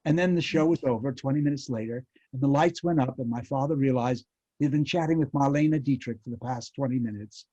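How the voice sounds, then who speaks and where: Older guy telling old stories, rambly and halting, soft mellow voice, dull call audio. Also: mid-range voice. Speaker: panel guest, former child radio actor. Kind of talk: casual reminiscing. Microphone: video call. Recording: muffled lo-fi online call.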